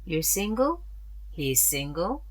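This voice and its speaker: A woman playing a frank, protective older mother in a radio drama, speaking deliberately and expressively. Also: on the low side, very animated, heavily acted. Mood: coaxing.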